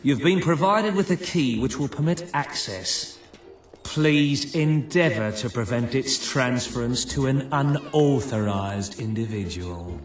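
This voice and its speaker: An actor playing a pompous, scheming crime boss in a video game, with florid, over-enunciated, long-winded formal diction. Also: male voice, mid-range voice, animated, a little slow, natural voice. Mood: smug, officious. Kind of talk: theatrical video game voice acting.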